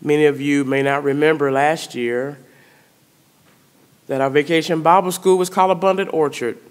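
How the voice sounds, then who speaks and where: A middle-aged woman priest, preaching a sermon in a church sanctuary, speaking conversationally and putting questions to the congregation. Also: low voice. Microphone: stand mic in front of pulpit.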